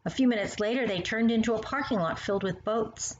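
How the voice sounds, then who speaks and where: A woman reading poems and stories aloud to young children in an expressive, animated manner, speaking directly to the listener. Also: on the high side, brisk. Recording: roomy, muffled sound with faint noise.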